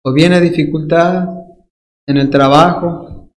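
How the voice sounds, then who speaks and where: A man with a Dominican accent preaches a sermon at an unhurried, deliberate pace, leaning hard on key words and stretching them out. His voice sits high for a man, and he slips into playful mimicry of everyday excuses. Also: steady tone. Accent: Dominican Spanish. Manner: emphatic, drawn-out stresses on key words.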